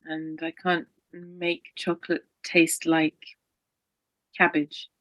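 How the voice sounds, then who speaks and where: A woman's voice: sits low, monotone, slow pace, a bit bright, no room sound. A woman talking as a guest in a reflective conversation, thoughtful and with self-deprecating humor.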